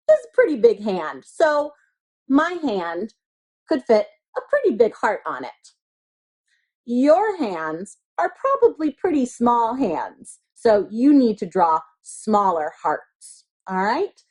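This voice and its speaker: A woman's voice: a little high, very animated, slow pace, darkish tone. A woman teacher giving step-by-step craft instructions to young children, speaking simply and encouragingly with repeated reminders. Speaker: elementary school teacher.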